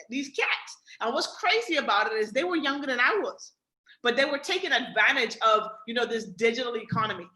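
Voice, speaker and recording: A young adult woman from the Bahamas with a Bahamian accent, giving an energetic motivational sales pitch on a webinar, often asking "right?" and calling the audience "guys.". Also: roomy sound, poor audio. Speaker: webinar presenter.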